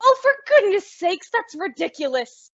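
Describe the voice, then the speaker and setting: A woman's voice: very high-pitched, big pitch swings, moderate pace, dry recording, heavily acted. A woman playing a sensible, exasperated roommate with a sardonic, dramatic delivery in old-time radio comedy. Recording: dull lo-fi radio-style recording.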